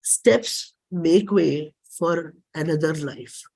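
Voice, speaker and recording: A performer with an Indian accent and a husky voice, giving a dramatic, deliberate reading of a personal play script. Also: medium pitch, warm tone. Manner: measured, deliberate, theatrical phrasing.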